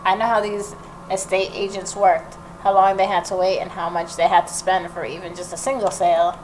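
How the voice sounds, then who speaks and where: A woman hosting a gaming video, reading the story narration aloud and adding expressive reactions of her own. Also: mid-range voice, fairly quick, poor audio. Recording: noticeable background noise.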